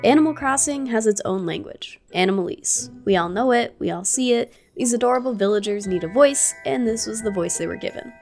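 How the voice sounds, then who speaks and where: A woman's voice: on the high side, expressive, a little fast, creaky, few pauses, a little mellow, soft background music. A woman narrating a scripted gaming video essay in a curious, playful way, with casual asides. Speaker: gaming YouTuber.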